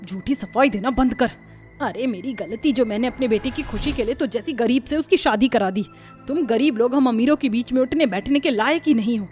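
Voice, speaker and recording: A woman's voice: fairly high pitch, a little fast, a little mellow, faint music behind, close to an everyday voice. A woman voicing a haughty, scornful rich mother-in-law in a Hindi moral-story cartoon.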